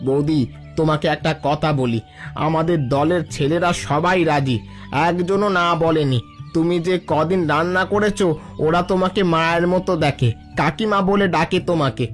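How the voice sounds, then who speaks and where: A humble bandit henchman from a cartoon story, played by a man. His voice is on the high side for a man, and he talks earnestly and respectfully. Light music plays underneath. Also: moderate pace, close to an everyday voice. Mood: hopeful, grateful. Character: loyal male bandit henchman, humble and reforming. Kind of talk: Bengali cartoon story dialogue.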